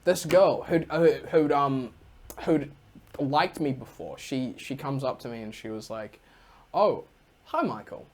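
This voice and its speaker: A man's voice: mid-range voice, unhurried, darkish tone. A young man from New Zealand with a New Zealand accent, telling stories on a casual podcast in a rambling way full of "like". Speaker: podcast co-host. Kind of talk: casual storytelling chat.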